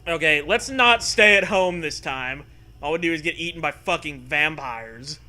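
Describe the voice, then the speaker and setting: A man's voice: fairly high pitch, expressive, moderate pace, bright, forceful tone. A man reading a story aloud for comedy, with jokey, profane riffs and a theatrical delivery. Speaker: host reading a choose-your-own-adventure story aloud. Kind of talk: comedic read-aloud with casual riffing.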